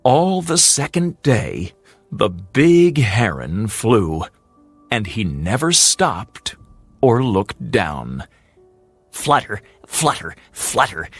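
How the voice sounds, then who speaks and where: A storyteller narrating a fairy tale for English learners in a deliberate, expressive way, drawing out repeated words. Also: mid-range voice, slightly rough, no echo.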